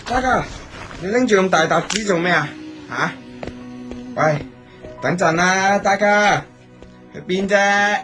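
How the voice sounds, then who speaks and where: A man's voice: high voice, slow, pauses often, music in the background. An actor playing a pleading young man in an audio drama, with a Hong Kong Cantonese accent. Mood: pleading, nagging.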